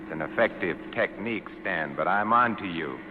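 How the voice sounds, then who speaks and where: A brilliant, hard man who taunts with morbid amusement. His lowish voice moves freely up and down. It sounds like an old radio suspense play, tinny and echoing. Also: lively intonation, moderate pace. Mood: smug, needling. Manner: amused, needling, smoothly confident. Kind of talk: 1940s radio suspense acting. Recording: tinny, echoing, noisy vintage radio recording.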